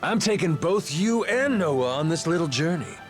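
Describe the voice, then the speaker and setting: Man playing video game pirate captain, brash, whimsical, encouraging, highish pitch, very animated, theatrical. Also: moderate pace, faint music behind, lightly acted. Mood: brash, insistent.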